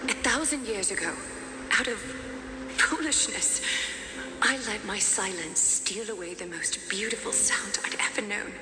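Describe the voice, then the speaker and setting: A woman voicing a solemn, regal, centuries-old schemer full of regret in a video game, measured, formal and halting. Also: mid-range voice, natural voice. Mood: regretful, pleading. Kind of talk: video game character acting. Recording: reverberant hall, music behind.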